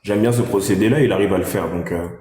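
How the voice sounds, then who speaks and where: Echoey reverberant recording, man, lowish voice, panel guest, casual reflective chat. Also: fast, dark tone. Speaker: guest panelist, music artist. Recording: echoey, long room reverb.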